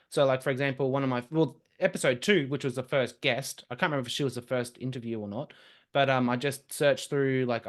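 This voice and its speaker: A young man, a podcast guest chatting casually and telling lively stories. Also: medium pitch. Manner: lively, quick storytelling with laughs and restarts. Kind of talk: casual conversation.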